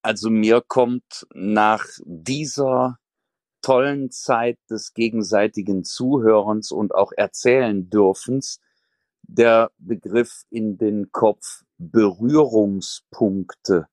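A man speaking as a podcast guest, talking slowly and thoughtfully in a reflective conversation. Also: fairly low pitch, darkish tone, no room sound.

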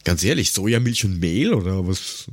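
A man from Lower Austria with an Austrian accent, chatting casually and sarcastically as a podcast co-host, given to ranting and crude jokes. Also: very animated, normal speed, no room sound, clean studio sound. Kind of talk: casual chat.

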